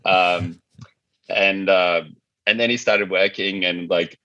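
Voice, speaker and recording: Deep, very bright man, casual. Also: steady tone, slow pace, no room sound, highs cut off. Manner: informal, conversational, frequent "like" and "you know". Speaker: company founder being interviewed. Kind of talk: casual interview talk.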